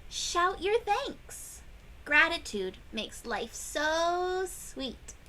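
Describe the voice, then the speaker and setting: A woman reading a picture book aloud to young children, with expressive emphasis and questions to her listeners. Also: extremely high pitch, drawn-out words. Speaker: children's read-aloud host.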